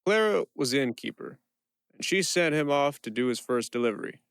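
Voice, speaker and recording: A man playing a quick-witted prisoner who narrates his own tale in a first-person audio play. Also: medium pitch, animated, clean tone, no room sound, studio quality, natural voice. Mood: relishing storyteller.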